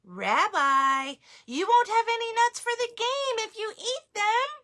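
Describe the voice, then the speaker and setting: A kindly old grandmother in a picture book, fond and gently chiding, pitched high with a bright, lively lilt, as a woman reading aloud puts her on. She talks slowly, her voice swooping up and down. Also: heavily acted. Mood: fond teasing. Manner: chiding yet affectionate. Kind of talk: storybook read-aloud.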